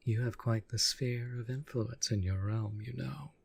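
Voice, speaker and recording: A man playing a proud, possessive demon in a roleplay audio drama, speaking formally to the master he serves with menacing devotion in a husky, breathy voice. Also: mid-range voice, fairly even intonation, darkish tone. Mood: proud, devoted. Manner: formal, measured, menacing yet deferential.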